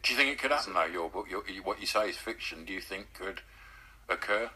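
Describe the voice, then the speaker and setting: A man's voice: low voice, fairly quick, pushed and bright, slightly rough, low-quality recording. Male radio co-host, short interjections. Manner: brief questions and interjections. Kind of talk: radio discussion.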